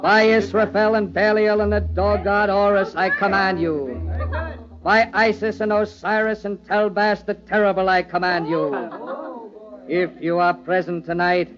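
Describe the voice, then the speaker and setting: A man's voice: sits high, steady tone, a little slow, music in the background. An actor playing an anxious, bossy young man hiding guilt, theatrical and insistent in old radio horror style. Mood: solemn incantation. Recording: muffled old radio, crowd behind.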